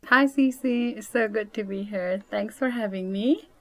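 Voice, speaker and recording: A woman's voice: medium pitch, unhurried, fairly bright tone. A woman in her twenties from Nepal, talking casually as a podcast guest with many fillers and frequent laughs. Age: 20s. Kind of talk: casual interview chat.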